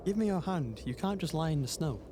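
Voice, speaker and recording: A man playing a gentle, reassuring brother in a radio drama, soft and coaxing. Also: a little high, expressive, a little fast, noisy background, close to an everyday voice. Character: gentle, reassuring brother, ghostly dream visitor.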